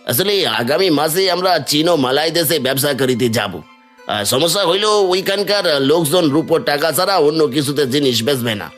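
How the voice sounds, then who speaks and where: A man's voice: a little high, expressive, normal speed, a bit bright, faint music behind. A man playing a sly, servile merchant swindler in a cartoon, with an ingratiating, scheming way of talking. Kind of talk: cartoon villain dialogue.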